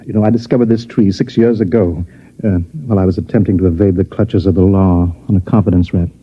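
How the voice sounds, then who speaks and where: A man's voice: low-pitched, fairly even intonation, fast talker, breathy edge. An actor playing a genial, talkative counterfeiter in a 1950s radio science fiction drama, with an urbane, showman's manner. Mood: delighted. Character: genial, talkative male counterfeiter living among aliens. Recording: dull, muffled vintage radio recording.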